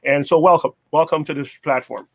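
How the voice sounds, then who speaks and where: Higher-voiced man giving advice. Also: steady tone, fairly quick, breathy edge. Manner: instructive, repeats phrases for emphasis. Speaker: co-host, basketball mentor. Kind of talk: conversational advice.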